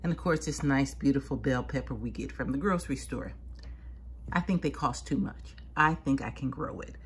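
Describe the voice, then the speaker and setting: A woman's voice: rumbling low, normal speed. A woman casually narrating a home gardening how-to in her sunroom, chatty and patient as she works with her hands. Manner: homey, patient, chatty explaining. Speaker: home gardener showing seed starting.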